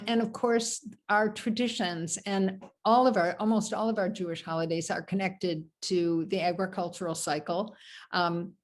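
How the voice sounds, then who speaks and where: An older American woman, a rabbi, leading an informal Torah study on a video call, talking in a loose, meandering way with many ums and asides. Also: medium pitch. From United States.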